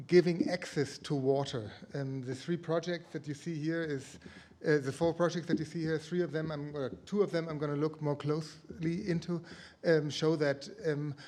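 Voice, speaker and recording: Man, fairly high voice. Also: a little mellow. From Denmark. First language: Danish. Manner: searching for words, self-correcting. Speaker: architect presenting. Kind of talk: conference presentation.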